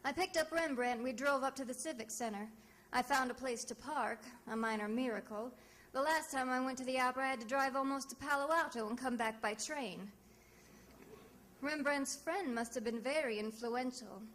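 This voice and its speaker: Sassy 40s radio lady detective, high voice, wisecracks, lots of old recording noise. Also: normal speed, a bit bright. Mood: wry narration. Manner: wry, breezy, wisecracking. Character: sassy, quick-witted female private detective narrating her case. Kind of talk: 1940s radio drama acting and narration.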